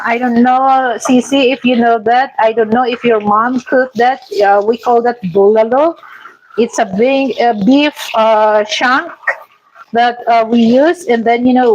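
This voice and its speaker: A Filipino woman chatting casually with viewers in halting English, full of fillers and restarts. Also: fairly high pitch, a little mellow, muffled recording, slight background noise. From Philippines. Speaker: vlogger. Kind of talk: casual live chat with viewers. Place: home kitchen.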